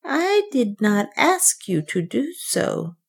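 A secretive, scheming servant woman, as a woman narrator puts her on. She speaks quietly but presses her point, her voice pitched a little high and rising and falling widely. This is a storybook reading. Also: big pitch swings, takes it slowly, vocal fry, husky, heavily acted. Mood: urging. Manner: quiet, insistent.

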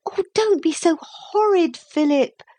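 A storybook little girl, as a woman narrator plays her, pitched high and full of earnest insistence. She speaks in proper, old-fashioned phrases with childlike emphasis, persuading stubbornly and getting excitable. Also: a little slow. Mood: pleading.

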